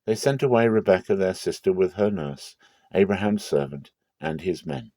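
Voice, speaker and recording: A man giving a dramatic scripture reading as narrator, with measured, solemn storytelling. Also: on the low side, lively intonation, creaky, warm tone, dry recording. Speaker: Bible reader.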